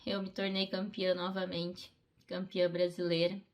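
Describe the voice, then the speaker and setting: A woman's voice: lowish voice, little pitch movement, a little slow. A middle-aged woman, telling a personal story in a heartfelt, conversational way, as an athlete in a documentary interview. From Brazil. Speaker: Paralympic fencer, interviewee.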